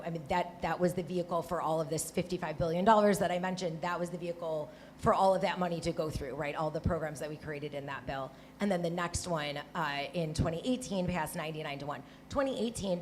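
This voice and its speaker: Woman, Michigan accent, low voice, conversational panelist, frequent "right?" tags, faint background noise. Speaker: panelist, former Senate staffer. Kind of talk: live panel discussion.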